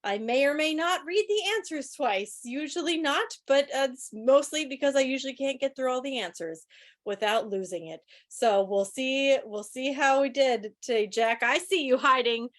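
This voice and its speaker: A woman's voice: high-pitched, normal speed. A woman hosting a club quiz on a video call, with a playful, teacherly manner. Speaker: quiz host. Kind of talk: club quiz hosting, reading questions aloud.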